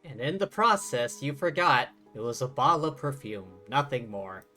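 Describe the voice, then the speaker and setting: A man streaming a story game, recapping and reading along deliberately with dry asides. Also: mid-range voice, lively intonation, bright, forceful tone. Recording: game music behind the voice.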